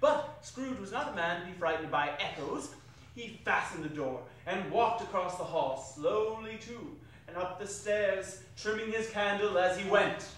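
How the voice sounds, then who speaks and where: A man's voice: high voice, measured pace, a bit bright. A man narrating a classic story as a theatrical dramatic reading on stage. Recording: very echoey hall, distant mic, faint noise.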